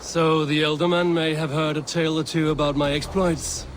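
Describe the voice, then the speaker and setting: A man playing a dry-witted, steady Viking chieftain in video game dialogue. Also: on the high side, normal speed, a little hiss or hum, natural voice. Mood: wry, measured.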